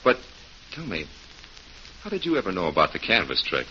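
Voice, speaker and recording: A man's voice: low voice, wildly swooping pitch, fairly quick, sharp tone, exaggerated voice. An actor playing an eager, inquisitive male announcer sidekick on a 1940s radio show. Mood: curious. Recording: dull, noisy vintage radio recording.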